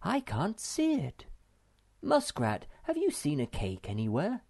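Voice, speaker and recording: Man doing a high, fretful troll mother. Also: huge pitch swings, a little slow, gravelly, a little mellow, heavily acted. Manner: anxious, sighing. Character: motherly female troll, fretful, sighing, gentle.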